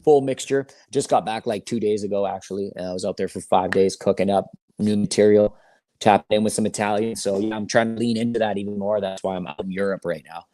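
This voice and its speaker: A man's voice: fairly low pitch, steady tone, quick pace, dark tone, dry recording. A man chatting casually as a musician guest in an interview, with laid-back, slangy phrasing. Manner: laid-back slangy talk, frequent "you know".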